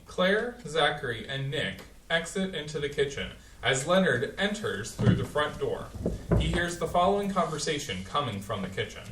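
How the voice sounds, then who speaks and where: A man's voice: a little high, sing-song, moderate pace, heavy background noise. A man reading stage directions aloud in a plain, matter-of-fact way during a staged play reading. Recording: echoey, distant stage sound.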